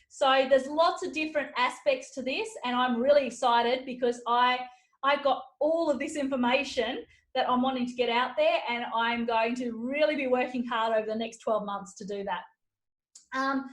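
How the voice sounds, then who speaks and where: A woman podcast host giving an upbeat motivational keynote talk, walking through numbered steps, her voice slightly hoarse. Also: high-pitched. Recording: roomy echo.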